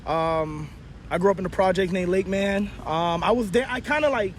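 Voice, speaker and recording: A man's voice: high voice, moderate pace, slight background noise. A young man from Orlando, a rapper guest, talking in a laid-back, slangy way. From Orlando, Florida. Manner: laid-back, slangy, says "you feel me" often. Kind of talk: casual chat.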